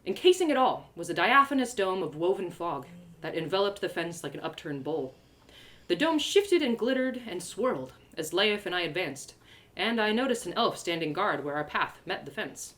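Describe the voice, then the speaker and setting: A woman reading her fantasy story aloud in a theatrical, expressive first-person narration. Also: on the low side, moderate pace. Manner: theatrical, animated delivery.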